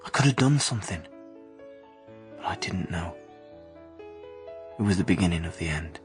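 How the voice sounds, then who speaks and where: An actor playing a devoted but resentful young working-class husband in an emotional, intimate radio drama. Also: male voice, low voice, lively intonation, moderate pace. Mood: haunted, regretful. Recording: music behind the voice.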